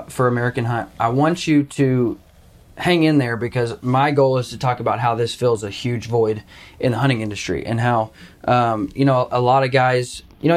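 A young man from Arkansas hosting a hunting podcast in a casual, joking, rambling way. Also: medium pitch. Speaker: podcast host. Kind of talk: casual chat.

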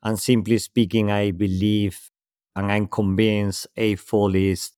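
A man's voice: lowish voice, little pitch movement, takes it slowly, a little mellow, clear, resonant tone, dry recording, studio quality. A man from Spain speaking English with a Spanish accent, a guest giving thoughtful, structured answers in a podcast interview. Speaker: guest executive.

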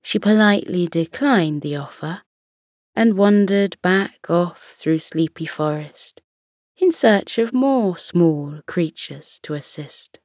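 Slow-paced woman telling a bedtime story. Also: fairly low pitch, lively intonation, a little mellow, no echo, studio quality. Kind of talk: slow, soothing scripted bedtime storytelling for children.

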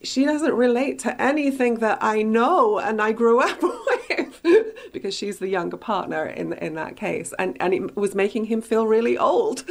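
A woman's voice: on the high side, big pitch swings, measured pace, vocal fry, few pauses. A woman hosting a conversational author interview, asking questions and commenting. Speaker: radio show host.

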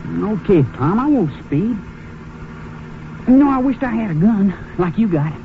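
A man's voice: high-pitched, sing-song, unhurried. A young man playing a cocky, impulsive teenage car thief with rural slangy speech in a radio drama. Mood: cocky, eager. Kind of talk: 1950s western radio drama acting. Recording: muffled, hissy 1950s radio recording.

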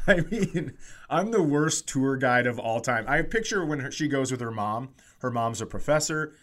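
A man's voice: mid-range voice, fairly bright tone. American man telling funny stories casually. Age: middle-aged. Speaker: podcast co-host and comedian. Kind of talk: casual comic storytelling.

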